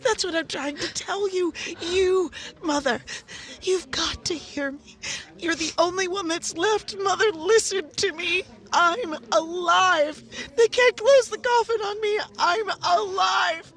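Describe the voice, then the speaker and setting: A young bride sealed alive in her coffin. Her voice is extremely high and drawn out, anguished and pleading. It is played in the manner of 1930s horror radio drama. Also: a bit bright, exaggerated voice. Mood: hysterical, insistent. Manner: slow, anguished, tearful pleading.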